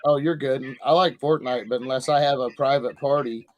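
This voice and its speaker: A man's voice: mid-range voice, fairly even intonation, moderate pace, low-quality recording. An older man hosting a live stream in casual chat, rambling and hesitant, full of fillers. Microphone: video call.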